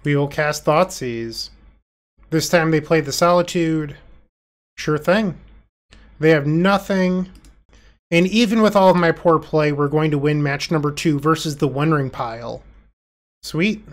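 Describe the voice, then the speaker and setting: A man talks through an online card game in a relaxed, casual way, with a congested, nasal voice from a recent illness. He thinks aloud and pokes fun at his own play. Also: on the high side, unhurried, long pauses. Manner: self-deprecating, thinking aloud. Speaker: gameplay commentator.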